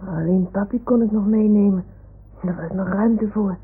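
An actress playing a confident, patient young child who talks in a matter-of-fact, reassuring way in an old radio play. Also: female voice, medium pitch, normal speed, slight background noise, natural voice. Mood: confiding. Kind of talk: 1960s-70s Dutch radio play acting. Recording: muffled, phone-like old radio recording.

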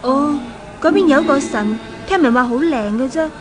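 A caring female companion in a 1970s radio drama. The voice is high and gentle, with a persuasive, reassuring delivery in period acting style. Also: animated, normal speed, over music. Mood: informative.

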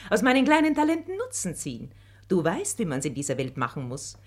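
A husky, lively, scheming matchmaker. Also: female voice, lowish voice, a little fast. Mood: sly, breezy. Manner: coaxing, theatrical, smooth-tongued. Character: shrewd, flattering female matchmaker and schemer. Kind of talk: German radio play of a classic stage comedy.

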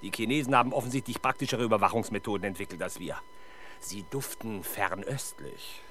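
A man acting as a gruff, impatient, sardonic police inspector in a 1970s German crime radio play. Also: fairly low pitch, a little fast, fairly bright tone, soft background music, lightly acted. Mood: dry, businesslike. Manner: brusque, sarcastic, demanding.

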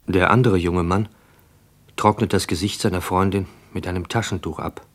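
An actor narrating a radio play in a detached, scripted way. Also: male voice, low-pitched, steady tone, moderate pace. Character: detached male narrator of stage action.

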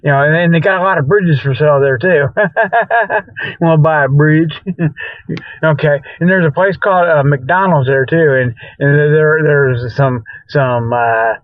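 A man with a notably high voice rambles through a comic radio monologue. His talk is folksy and loose, as a host amused by his own jokes. The sound is very dry and a little muffled, like lo-fi radio. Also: measured pace, no room sound. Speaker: comedy radio show host. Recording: dull lo-fi radio audio.

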